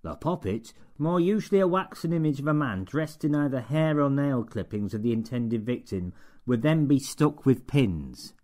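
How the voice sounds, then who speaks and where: A man's voice: medium pitch, lively intonation, normal speed, mellow, pitched above the performer's own voice, lightly acted. A man voicing a sour, contemptuous barber-shop owner who sneers and barks orders. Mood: mocking. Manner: sneering, sarcastic, bossy.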